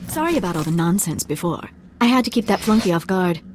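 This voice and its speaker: A woman's voice: on the low side, animated, fast, a little mellow, slight background noise, natural voice. An actress playing a cocky, breezy young noblewoman spy in a video game, teasing and flippant. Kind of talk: dramatic video game acting.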